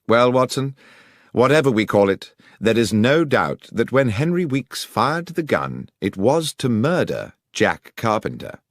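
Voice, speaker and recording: Audiobook detective, man performing: brisk, commanding, explains with precision, lively intonation. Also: mid-range voice, no echo, clean studio sound. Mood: assured, explanatory. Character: brisk, assured male detective explaining his deductions. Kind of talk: audiobook character dialogue.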